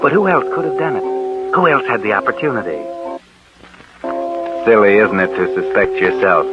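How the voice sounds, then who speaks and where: A man's voice: lowish voice, normal speed, close to an everyday voice. An actor playing a sinister suspense narrator on 1940s radio, needling and insinuating like a man's guilty conscience. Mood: insinuating, taunting. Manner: insinuating, taunting second-person address. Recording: dull 1940s radio, loud music behind.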